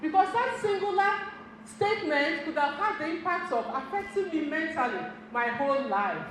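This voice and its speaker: A woman's voice: high-pitched, expressive, normal speed, a bit bright. A middle-aged Igbo woman, telling stories and speaking directly to a seminar audience, laughing often. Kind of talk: motivational talk to an audience. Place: community center hall. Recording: very echoey hall with noise.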